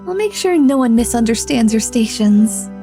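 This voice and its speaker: A woman's voice: on the high side, expressive, moderate pace, soft background music. A woman playing a sweet, innocent young fire genasi in a fantasy audio drama, hesitant and polite. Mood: earnest. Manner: hesitant, polite, soft-spoken.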